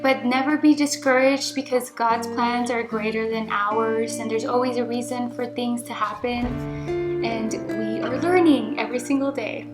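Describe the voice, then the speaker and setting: A woman's voice: fairly high pitch, fairly even intonation, measured pace. A woman co-hosting a vlog, chatting casually and reassuringly as she shares a personal story. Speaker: vlogger, co-host with husband. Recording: roomy echo, music playing behind.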